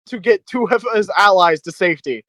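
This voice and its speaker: A man's voice: high voice, fairly quick, pushed and bright, dry recording, studio quality. A man playing a tabletop role-playing game, chatting casually with slang and swearing as he describes his character's actions.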